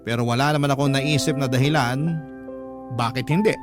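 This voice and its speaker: A man's voice: medium pitch, fairly even intonation, a little fast. A man narrating a first-person love story as a radio storyteller, in a dramatic scripted style. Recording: prominent music bed behind narration.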